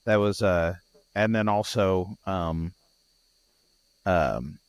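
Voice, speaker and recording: A man's voice: deep voice, very slow, clean tone, no room sound. Tired, scratchy-voiced male podcast co-host. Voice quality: groggy and scratchy. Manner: rambling, with frequent restarts and fillers. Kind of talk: casual chat.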